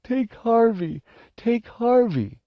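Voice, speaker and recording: A man's voice: very high voice, animated, slow pace, voice pushed up, exaggerated voice. A man voicing a fat, cowardly young boy in a storybook, sobbing, whining and pleading over and over. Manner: pleading, repetitive. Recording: roomy, dull lo-fi audio.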